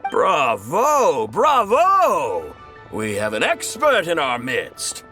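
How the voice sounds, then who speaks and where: An actor playing a jovial, pompous older role-play enthusiast with a hammy, exuberant delivery in a video game. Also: male voice, a little high, huge pitch swings, very slow, sharp tone, soft background music, exaggerated voice. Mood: delighted. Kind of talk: video game cutscene acting.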